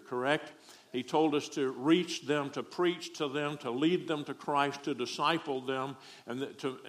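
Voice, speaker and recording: A man's voice: mid-range voice, a bit bright. A middle-aged man from Texas with a Southern accent, preaching a folksy, joking sermon as a guest preacher.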